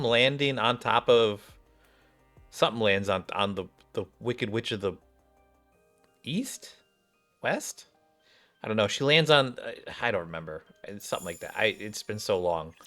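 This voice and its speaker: A man's voice: fairly low pitch, expressive, normal speed, fairly bright tone. A man giving casual reaction commentary as a game streamer, musing and thinking aloud. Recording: game music behind the voice.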